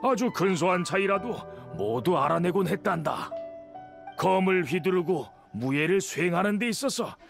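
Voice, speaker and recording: An old game swordsmith with a creaky, fairly high voice. He speaks in the measured, old-fashioned way of a patient elder, with music clearly behind him. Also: expressive. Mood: troubled, measured. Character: elderly male master swordsmith, patient, kindly, worried for nephew. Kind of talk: video game voice acting.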